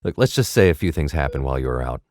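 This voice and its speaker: A man performs a worried middle-aged dad in an audio drama. His extremely low voice is measured and firm, with some hesitation. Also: expressive, darkish tone. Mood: guarded.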